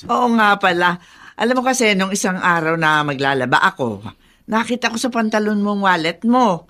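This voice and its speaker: A woman's voice: mid-range voice, lively intonation, moderate pace, pushed and bright. An actress playing a chatty, evasive older Filipino mother of a grown son in a radio drama. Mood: sheepish.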